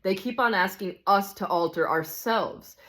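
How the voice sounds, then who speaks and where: A man's voice: high voice, unhurried, sharp tone. A woman voicing a cynical, world-weary male ghost. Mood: triumphant complaint. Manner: scornful, insistent complaining with rhetorical questions.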